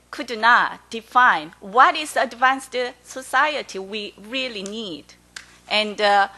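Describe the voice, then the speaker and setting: Korean-accented female panelist, halting delivery, fairly high voice with a bright edge. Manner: halting, full of hesitations. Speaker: forum panelist. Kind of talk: conference panel remarks.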